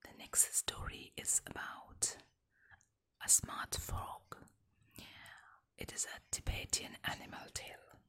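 A woman softly reading folk tales and fables aloud in a gentle whisper. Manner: soft, slow, gentle whispering. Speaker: storyteller. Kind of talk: whispered ASMR story reading.

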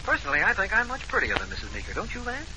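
A confused, sarcastic official speaks through a phone filter in an old radio mystery. His fairly high male voice swings widely in pitch, over noisy sound. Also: wildly swooping pitch, racing. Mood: bewildered, sarcastic. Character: bewildered, drily sarcastic male district attorney on telephone. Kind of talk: 1940s radio drama acting.

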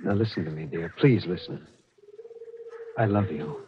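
A man's voice: low voice, moderate pace, a little hiss or hum, natural voice. An actor playing a grave, anguished man in love in an old radio melodrama, brooding and earnest. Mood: grave pleading. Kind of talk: 1940s radio drama acting. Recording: dull lo-fi 1940s radio recording.

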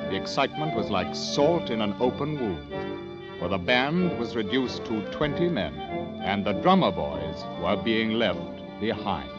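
An actor playing a war correspondent who narrates an army adventure in an old radio drama. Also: male voice, fairly low pitch, lightly acted. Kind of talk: scripted 1940s-50s radio drama narration. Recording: dull old radio recording. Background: music.

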